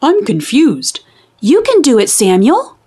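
Youthful storybook mule, read by a woman, high-pitched, exclaiming, animated, measured pace. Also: husky, full character voice. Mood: encouraging. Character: youthful cartoon mules, chatty and exclaiming. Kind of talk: children's storybook character reading.

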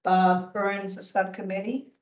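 An older woman chairing a town commission meeting, soft-spoken and hesitant, often trailing off. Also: medium pitch, flat delivery, moderate pace. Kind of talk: meeting discussion. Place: town council chamber. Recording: echoey room, dull lo-fi sound.

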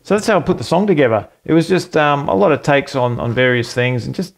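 Relaxed rambling man in a home studio. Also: medium pitch, fast talker, vocal fry. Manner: relaxed and rambling, with fillers and self-corrections. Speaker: home music producer and channel host. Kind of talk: casual walkthrough of a home recording. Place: small home studio room at a computer desk.

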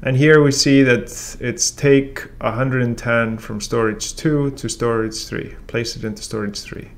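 A man in his forties teaching a software tutorial in a relaxed, step-by-step way, with frequent ums. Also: mid-range voice, measured pace. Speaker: software trainer. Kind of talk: casual screen-share tutorial. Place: room with a brick wall and whiteboard, then voice-over of screen recording.

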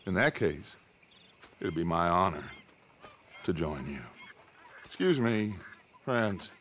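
An actor playing a charismatic, grandiose middle-aged outlaw boss in a western game, with an American accent and an oratorical, deliberate delivery. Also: male voice, low-pitched, sing-song, fairly bright tone, slight background noise, heavily acted. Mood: sardonic, theatrical. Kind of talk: dramatic western game acting.

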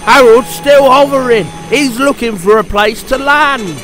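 Man acting high, shouting, excited railway fireman. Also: a little slow, slight background noise, rough recording. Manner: shouting, exclamatory.

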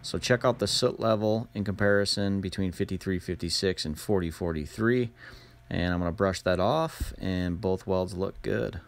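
Male tutorial narrator, lowish pitch, plain-spoken and casual, close dry voice-over. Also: normal speed, creaky, dry recording. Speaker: instructional narrator.

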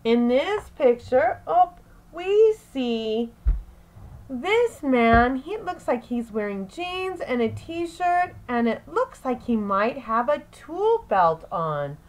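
A woman teacher reading aloud to young children in a deliberate, phrase-by-phrase way, as in an expressive picture-book lesson. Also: high voice, poor audio.

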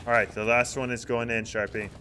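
A man chatting casually while playing a co-op game, excitable and jokey, often exclaiming. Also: mid-range voice, fairly quick. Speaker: gaming video host and player. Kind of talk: casual chat during co-op game play. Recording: loud game noise and clicks behind. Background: pirate video game sounds.

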